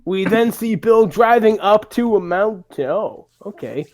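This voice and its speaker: A man's voice: high-pitched, lively intonation, unhurried, darkish tone. A man narrating a comedic script reading, giggly and often slipping into casual joking asides.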